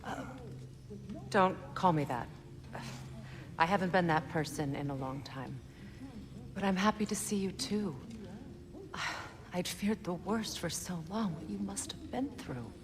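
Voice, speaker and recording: A woman's voice: lowish voice, a little slow, long pauses, lightly acted. A woman voicing a strong-willed middle-aged goddess in a video game, solemn, firm and wounded. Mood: tender, sorrowful. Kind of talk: dramatic video game acting. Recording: clear background ambient noise.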